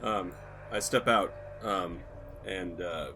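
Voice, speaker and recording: A man's voice: deep voice, slow pace, noisy background. A man playing in a tabletop game, describing his actions hesitantly with stammering restarts. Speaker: player.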